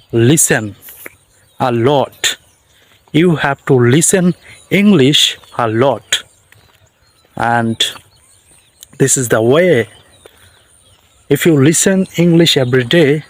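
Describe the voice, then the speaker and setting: Young Bangladeshi man, English teacher type, very slow, halting delivery, talking outside with crickets behind him. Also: mid-range voice. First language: Bengali. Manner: halting short phrases with pauses. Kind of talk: slow unscripted English lesson. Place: outdoors in the countryside.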